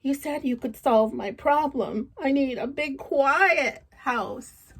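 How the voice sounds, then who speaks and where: An exasperated husband who cannot sleep, acted by a woman reading a storybook. The voice is raised high and complains with pleading emphasis, drawn out and slow. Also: fairly bright tone, full character voice.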